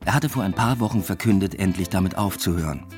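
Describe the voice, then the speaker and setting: Male lead played by an actor, deep and understated, ironic academic narrating a radio drama over music. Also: fast, a little mellow, lightly acted. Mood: dry, reflective. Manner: dry, understated, reflective. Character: weary, ironic male literature lecturer telling his story. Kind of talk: radio play first-person narration.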